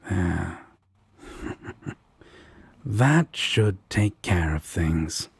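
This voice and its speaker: A man playing a sardonic, mischievous yet protective demon roommate in an audio roleplay, with a dry, teasing delivery and a husky, breathy voice. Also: low voice, animated, very slow, no room sound, heavily acted. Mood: scheming, amused. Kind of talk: fan audio roleplay acting.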